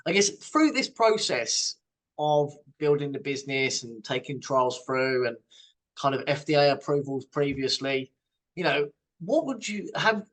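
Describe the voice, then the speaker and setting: A man hosts an interview, speaking in an upbeat, encouraging way as he frames his questions. His voice sits a little higher than most men's. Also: expressive, a little slow, fairly bright tone, low-quality recording. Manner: enthusiastic, encouraging. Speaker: podcast host. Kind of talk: interview hosting.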